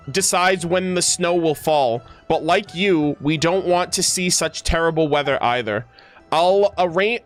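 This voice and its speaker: A man's voice: on the high side, fairly bright tone. A man reading the part of a polite, unruffled guild branch master in a fantasy game, formal and courteous.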